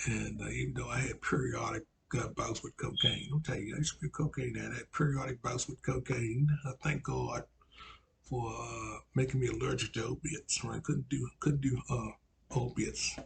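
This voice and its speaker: A man in his forties with a raspy voice, a religious teacher giving a rambling monologue that repeats itself and is filled with "you know.". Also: medium pitch, a bit bright, slight background noise. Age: 40s. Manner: repetitive, frequent "you know" fillers, lecturing.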